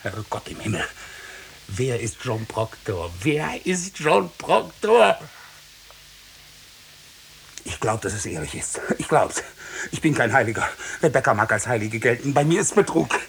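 A tormented condemned man in an old radio drama, his voice creaky and halting. He speaks with anguished intensity, wrestling his conscience. There is a light hiss over the vintage recording. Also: mid-range voice, big pitch swings, a bit bright, full character voice. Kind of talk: 1950s German radio drama acting.

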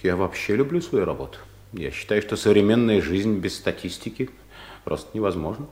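Male actor as a meek but indignant office clerk. Animated, hurried, halting, radio comedy play. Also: fairly low pitch, close to an everyday voice. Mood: nervous, evasive. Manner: halting, defensive, deferential to his boss. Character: timid, flustered male office clerk, indignant when accused. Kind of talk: Soviet radio comedy acting.

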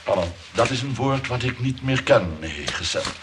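An actor in a comic radio play voicing a lonely, fussy shopkeeper who rambles hesitantly and repeats himself. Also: male voice, medium pitch, expressive, moderate pace, close to an everyday voice. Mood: plaintive. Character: lonely, fussy shopkeeper shyly courting a nurse. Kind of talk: comic radio drama acting. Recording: noisy old radio play recording.

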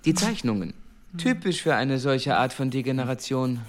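Guy playing a smug anarchist know-it-all, really animated pitch, radio drama pronouncements. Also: moderate pace, natural voice. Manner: self-assured, pronouncing. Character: smug male pseudo-scientific anarchist intellectual. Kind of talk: German radio play acting.

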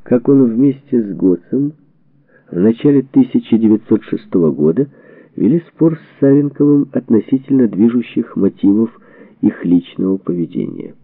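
A man narrating a historical book from a script, with measured, deliberate phrasing and pauses. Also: mid-range voice, sing-song, vocal fry, dry recording. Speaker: audiobook narrator. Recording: band-limited, tinny phone-like sound.

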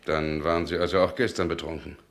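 An actor playing a reserved, irritable gentleman with a measured, formal and direct manner in an old radio play. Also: rumbling low, steady tone. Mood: cool, reproving. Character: reserved, irritable gentleman, former lover, probing. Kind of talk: 1960 German radio play acting. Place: radio drama studio.

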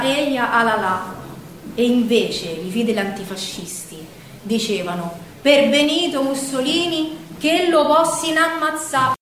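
A woman with a Roman accent playing a feisty working-class partisan who recalls her girlhood in a colloquial, emphatic stage monologue. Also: high-pitched. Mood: indignant. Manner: colloquial, emphatic, dramatic storyteller. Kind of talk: theatrical first-person storytelling monologue. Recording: very echoey hall, distant mic, faint noise.

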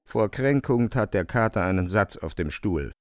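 A man's voice: lowish voice, expressive, normal speed, darkish tone, dry recording, clean studio sound. A man narrating a literary radio play from a script as its storyteller.

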